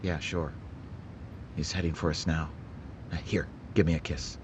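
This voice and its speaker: An actor playing a terse, businesslike police sergeant who narrates the case in a clipped, matter-of-fact 1940s radio drama style. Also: male voice, rumbling low, level tone, measured pace, slight background noise. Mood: hushed tense. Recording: dull lo-fi radio sound with music bed.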